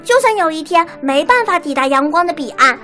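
Give me a woman playing a talkative young male dog with a very high, bright voice. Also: expressive, fairly quick, soft background music, heavily acted. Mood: chatty. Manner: chatty, fond of snacks, dreamy. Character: cheerful chatty young male water dog.

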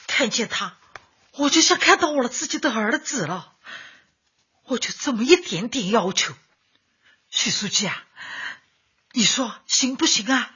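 A woman's voice: a little high, expressive, unhurried, pauses often, bright, forceful tone, husky, heavily acted. An actress in a radio drama playing a grief-stricken elderly grandmother, tearful and pleading. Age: older. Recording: dull lo-fi, music behind.

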